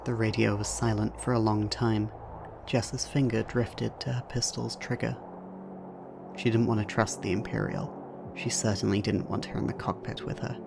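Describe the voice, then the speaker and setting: A man's voice: on the low side, little pitch movement, normal speed, vocal fry, noisy background. A man reading scripted narration for an audio drama as its narrator.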